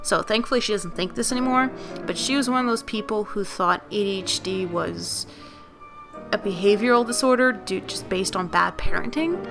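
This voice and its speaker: A young woman talks in a loose, rambling vlog style, worn out and raspy from a bad night's sleep. Her voice has a gravelly fry at its middling pitch. Music plays clearly underneath her. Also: moderate pace. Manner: rambling, many fillers and self-corrections. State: tired, voice raspy and lower than usual. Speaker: video creator. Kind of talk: unscripted casual vlog talk over speed-drawing.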